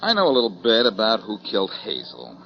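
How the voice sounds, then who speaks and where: An actor playing a cool, shrewd, wry detective in a 1940s radio drama. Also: male voice, on the low side, huge pitch swings, a little fast. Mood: confident. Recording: muffled 1940s radio recording.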